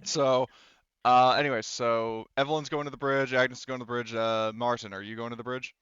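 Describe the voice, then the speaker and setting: A man running an online tabletop game, narrating casually in a halting, informal way with some swearing, over voice-chat audio. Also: medium pitch, level tone, a bit bright, clear, resonant tone, dry recording, muffled recording. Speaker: game master.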